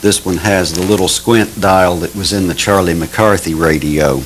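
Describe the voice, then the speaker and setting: A man's voice: low-pitched, moderate pace, a little hiss or hum, poor audio. A man explaining items as a presenter in a show-and-tell style. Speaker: presenter of vintage radios.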